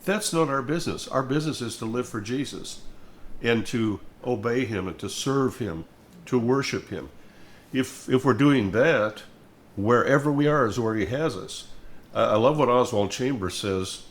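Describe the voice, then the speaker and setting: A man's voice: mid-range voice, expressive, moderate pace. An older man in an earnest, reflective devotional conversation, speaking in a preachy way and repeating phrases for emphasis.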